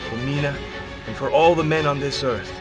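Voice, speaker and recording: A man's voice: fairly high pitch, normal speed, lightly acted. A man voicing a determined young husband hero. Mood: resolute. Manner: defiant, demanding, earnest. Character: determined young husband searching for his wife. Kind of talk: game cutscene voice acting. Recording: game audio with clear music score.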